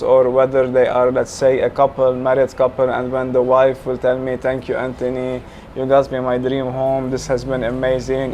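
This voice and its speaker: Flat-voiced man with a Lebanese accent. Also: medium pitch. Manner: frequent fillers, earnest, talkative. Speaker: real estate businessman, guest. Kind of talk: casual interview. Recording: faint ambient noise.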